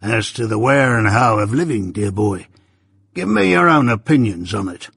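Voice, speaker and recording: A weathered old convict with a gravelly, husky voice, voiced by a male reader. He talks in broad rustic dialect, blustering proudly one moment and dropping low the next. Dry, close audiobook sound. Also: lively intonation, moderate pace, fairly bright tone, dry recording, full character voice. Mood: confiding. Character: rough old male ex-convict, gruff, doting. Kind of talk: audiobook character voice.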